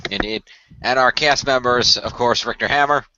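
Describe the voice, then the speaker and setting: A man's voice: mid-range voice, normal speed, bright, forceful tone, a little hiss or hum. A man hosting and narrating an amateur radio play in an informal podcast style. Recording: dry, dull lo-fi voice track.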